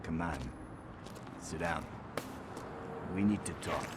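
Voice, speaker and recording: Low, wisecracking street informant. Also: male voice, takes it slowly, background noise. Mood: gruff concern. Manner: loose, casual, streetwise. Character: scruffy, wisecracking adult male street informant.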